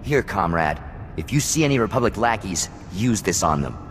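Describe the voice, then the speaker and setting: An actor playing a zealous, jumpy militia comrade in a video game, earnest and urgent in manner. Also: male voice, low-pitched, sing-song, normal speed, long reverb tail, faint music behind.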